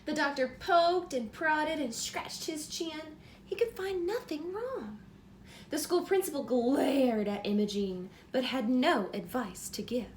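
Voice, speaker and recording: Female, very high voice, children's read-aloud teacher, simple prompting questions, some background noise. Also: animated, unhurried. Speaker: teacher reading a picture book aloud to children.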